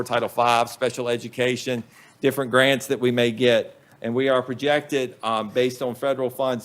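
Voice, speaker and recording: A man, a school superintendent, presenting budget figures to a county board in a plain, measured way with frequent ums. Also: mid-range voice. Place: public meeting room.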